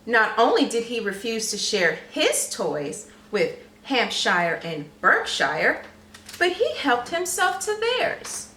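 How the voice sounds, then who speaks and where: Woman, children's storybook reader, slow, bright, teacherly questions and explanations, slightly distant mic. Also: on the high side, sing-song, slight background noise. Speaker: early childhood read-aloud teacher. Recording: roomy, echoey, slightly distant microphone.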